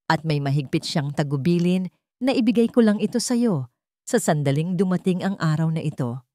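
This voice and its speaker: A woman plays an old lawyer, steady and respectful, giving each formal word its weight. The voice sits low and mellow, recorded close and dry with no room around it. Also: normal speed, no echo, natural voice. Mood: calm formal.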